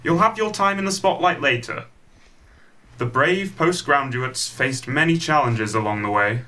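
A man's voice: mid-range voice, animated, a little slow, sharp tone. A man playing a wry, impatient narrator telling a comic pantomime story from a script.

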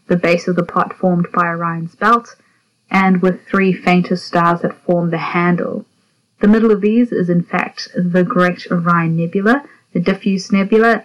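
A woman from South Africa presenting a guide to the night sky. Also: on the low side. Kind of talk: astronomy sky guide narration. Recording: dull lo-fi audio.

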